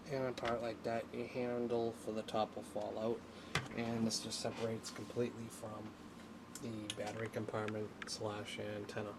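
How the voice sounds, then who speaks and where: A man giving a casual, unscripted hands-on tutorial, talking haltingly as he thinks aloud while working. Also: lowish voice, gravelly, heavy background noise. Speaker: hobbyist presenter of a radio repair video.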